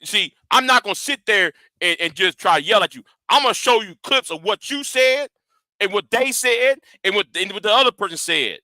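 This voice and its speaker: A man's voice: high-pitched, animated, moderate pace, pushed and bright, dry recording. A man ranting in a commentary vlog. He talks confrontationally and swears a lot, repeats and restarts his words, and challenges his audience directly. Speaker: YouTube commentator.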